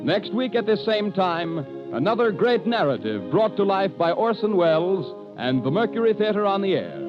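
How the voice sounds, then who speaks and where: A man's voice: high-pitched, very animated, moderate pace. A man reading the closing credits as a network announcer in a formal style. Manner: crisp, formal delivery. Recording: muffled 1930s radio broadcast with music.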